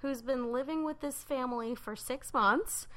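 A woman's voice: high-pitched, moderate pace, fairly bright tone. A woman co-hosting a podcast, telling a true crime story in a loose, chatty way full of "um" and "like". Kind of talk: casual storytelling chat.

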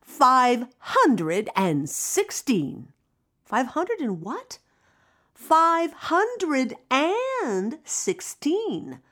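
A woman acts out a critical, loving middle-aged mother in a radio play. She nags with drawn-out emphasis in a highish, swooping voice, fussing over every detail. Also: huge pitch swings, fairly bright tone, heavily acted. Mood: exasperated. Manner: nagging, emphatic, drawn-out enumerating. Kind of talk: audio drama acting.

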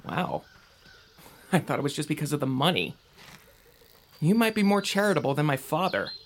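A man's voice: on the high side, expressive, moderate pace, a little hiss or hum, lightly acted. An actor playing a doubtful, earnest young man in an audio drama, speaking in a conversational, questioning way. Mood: puzzled.

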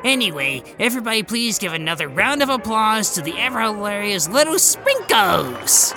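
A woman's voice: medium pitch, very animated, moderate pace, over music. A woman voicing a brash, foul-mouthed metal armadillo who announces acts as a club emcee in a comedic audio story. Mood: brash showmanship. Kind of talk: comedic audio drama acting.

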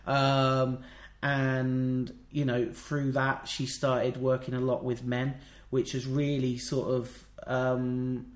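A British man talks in a loose, rambling way, full of dry, jokey asides. His voice sits at an ordinary pitch. The room around him rings with noticeable echo. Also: completely flat delivery, takes it slowly. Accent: British English. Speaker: podcast co-host. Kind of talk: casual wrestling chat. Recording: roomy echo.

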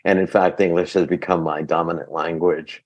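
A man's voice: low-pitched, little pitch movement, normal speed, darkish tone, lo-fi sound. A man from Queens, New York, a native Greek speaker, speaking as an interview guest and telling personal stories in a conversational way. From grew up in Queens, New York, from early childhood. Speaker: guest, actor.

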